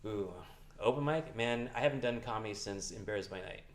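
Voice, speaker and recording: A young man co-hosting a casual podcast chat, talking in a relaxed, rambling way and laughing easily. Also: fairly low pitch, a little fast. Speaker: co-host. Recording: echoey room.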